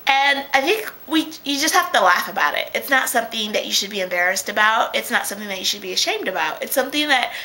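A young woman talking casually to her webcam from a small room at home, chatty and quick to laugh, often restarting her sentences. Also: a little high, expressive, sharp tone, poor audio. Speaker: vlogger. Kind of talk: casual video diary. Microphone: webcam at close range.

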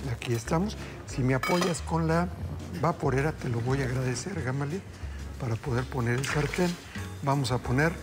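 A middle-aged TV chef explains each step of his recipe in lively, friendly patter. His voice sits a little high for a man. Studio music plays steadily underneath. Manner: warm upbeat patter, addresses viewers as family. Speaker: TV chef host. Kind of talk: live cooking demonstration. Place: TV studio kitchen.

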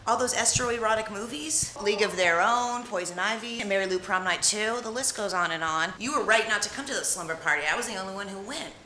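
A woman's voice: mid-range voice, fast, hardly any pauses, bright, forceful tone, echoey room, a little hiss or hum, poor audio. A young woman doing improvised comedy as a salon stylist, chatty and indignant. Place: indoor salon.

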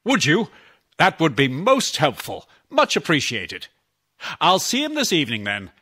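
A man's voice: a little high, huge pitch swings, measured pace, bright, forceful tone, breathy edge, heavily acted. An actor playing a practical, courteous middle-aged father in a children's audio drama. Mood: businesslike.

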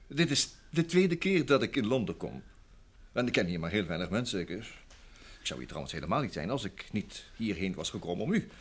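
An actor playing an affable, evasive showbusiness manager in an old Dutch radio play, chatty and hesitant. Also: male voice, lowish voice, sing-song, brisk, slight background noise. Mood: evasive, uneasy. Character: evasive, affable manager of a film star, grown man.

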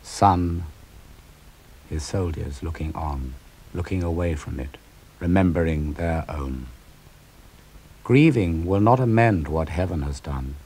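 Slow grave older man reading epic verse. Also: rumbling low, darkish tone, husky. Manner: slow, grave and declamatory, sweeping up for climaxes. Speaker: narrator reading poetry. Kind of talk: dramatic poetry reading.

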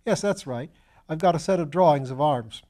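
A man reads out an eager young upper-class student in a storybook. He is easily flustered and runs himself down in old-fashioned slang. The voice rides high and stays mellow. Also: moderate pace, close to an everyday voice. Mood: recalling, eager. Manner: excitable, self-deprecating, old-fashioned slangy speech.

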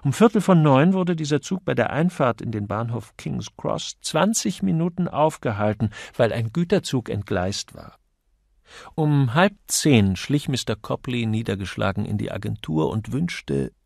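Gravelly male audiobook narrator. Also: medium pitch, expressive, a little slow, darkish tone, dry recording, studio quality. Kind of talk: scripted literary narration.

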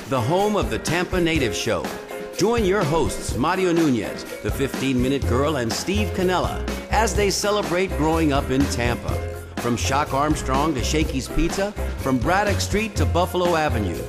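Lively announcer man over music. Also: fairly high pitch. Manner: punchy, showy announcer delivery. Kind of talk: show intro voice-over.

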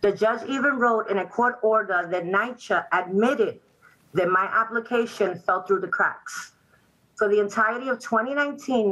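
A woman's voice: medium pitch, fairly bright tone, rough recording. A woman in her forties, from Puerto Rico and raised in New York City, reading a prepared statement earnestly as a client testifying at a public hearing over a video call. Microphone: video call webcam.

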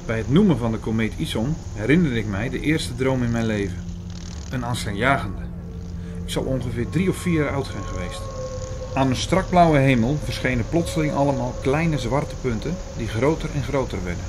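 An earnest, bookish male storyteller, played by an actor for an audio drama. He narrates in formal, measured phrases at a middling pitch. Clear ambient noise sits behind his voice. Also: expressive, close to an everyday voice. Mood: troubled, reflective. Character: Hugo, earnest male first-person narrator reading out prophecy.

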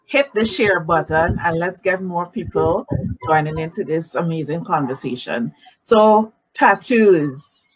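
A woman in her forties hosting a live-streamed interview from home over a video call, animated and greeting viewers by name. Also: fairly low pitch, unhurried, slightly rough, poor audio. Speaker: talk show host. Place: home room, indoors. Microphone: video call webcam.

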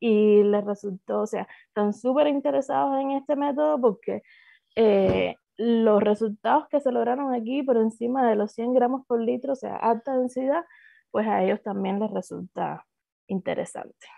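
Cuban woman in her 30s presenting a thesis, lots of ums, a bit of vocal fry, dry phone-like sound. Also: on the high side, moderate pace, warm tone, no echo. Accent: Cuban Spanish. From Cuba. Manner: hesitant, many fillers and restarts. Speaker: doctoral student presenting thesis. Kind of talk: academic slide presentation. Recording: dry, phone-like video call audio.

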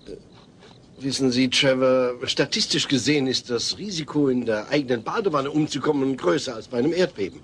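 A pedantic suburban father acted by a man in a comedy audio play. He speaks formally, with long-suffering patience, at a middle pitch. Faint cassette noise sits behind the voice. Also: a bit bright, natural voice. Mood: reassuring, earnest. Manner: formal, measured, exasperated. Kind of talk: German sitcom audio play.

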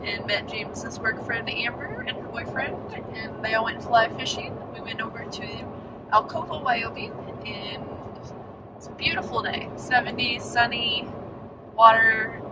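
A woman's voice: fairly high pitch, measured pace. Cheerful young woman recapping her trip. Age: young adult. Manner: cheerful, easygoing recap with fillers. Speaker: road trip vlogger. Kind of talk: casual travel diary narration. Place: in a truck and outdoors at a campsite. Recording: outdoor camera audio with clear background noise.

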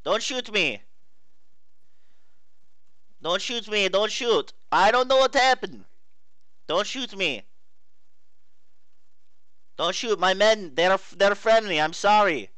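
A man's voice: sits high, lively intonation, slow pace, pauses often, clear, resonant tone, no room sound, exaggerated voice. A man playing a hostile local warlord who speaks broken English and curses at foreign soldiers. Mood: pleading. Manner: broken English, pleading then cursing and shouting. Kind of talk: milsim roleplay.

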